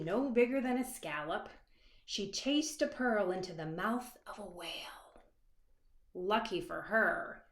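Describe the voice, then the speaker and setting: In an echoey room, a woman reads a children's picture book at an unhurried pace. Her delivery is dramatic and expressive, stretching words and dropping to a hush, as if speaking straight to small children. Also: medium pitch. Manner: pauses to address young listeners with questions. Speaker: author reading her own picture book. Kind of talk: expressive children's storybook read-aloud.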